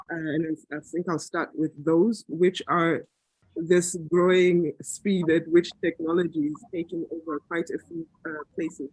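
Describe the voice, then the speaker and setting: A man from Zambia, his voice sitting somewhat high for a man and carrying a husky, breathy edge. He talks in an unscripted, reflective way, with frequent fillers and restarts. The recording is dry and close, with little room sound. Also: no echo, low-quality recording. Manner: thoughtful, hesitant, many fillers and restarts. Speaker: meeting participant. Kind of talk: unscripted talk at a planning meeting.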